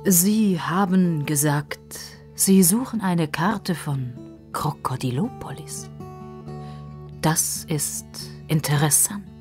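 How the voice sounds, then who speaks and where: Woman playing odd little male professor, courteous, mysterious, low, hushed, very slow. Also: soft background music. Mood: intrigued.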